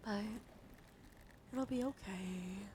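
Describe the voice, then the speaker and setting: A woman livestreaming ASMR, chatting softly and soothingly to her viewers with affection, drifting between whispers and gentle speech. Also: mid-range voice, slow pace, a little hiss or hum. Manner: affectionate toward listeners. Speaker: live streamer hosting ASMR. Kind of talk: ASMR, soft intimate chat with live viewers.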